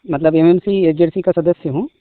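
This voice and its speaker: High-pitched man on a muffled phone line. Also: steady tone, a little fast, no room sound. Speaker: spokesman. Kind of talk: formal statement read aloud over a phone call. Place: phone call.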